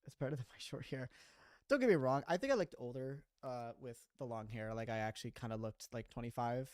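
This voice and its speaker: A man's voice: mid-range voice, fairly quick, darkish tone, clear, resonant tone, no echo, clean studio sound. A man in his mid-twenties, a streamer chatting casually and rambling with frequent laughs while reading his chat. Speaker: streamer and animator.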